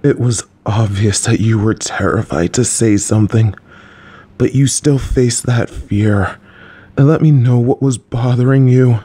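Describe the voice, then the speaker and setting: A man voicing a gentle, awkward, supportive middle-aged father, speaking in a slow, halting way with dad jokes. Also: mid-range voice, breathy edge. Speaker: voice actor playing a father. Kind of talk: audio roleplay.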